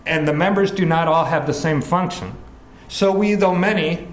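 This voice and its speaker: A man preaching a sermon, explaining scripture in an earnest, measured teaching manner. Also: a little high, echoey room, poor audio. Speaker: pastor. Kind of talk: expository sermon.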